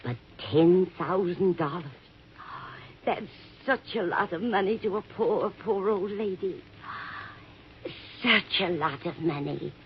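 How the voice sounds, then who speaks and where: A woman's voice: lowish voice, takes it slowly. An actress playing a sweet-seeming, scheming old lady in a fluttery, coy manner for a 1950s radio drama. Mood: feigned innocence. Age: older. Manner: coy, fluttery, feigned innocence. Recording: muffled vintage radio recording with hiss.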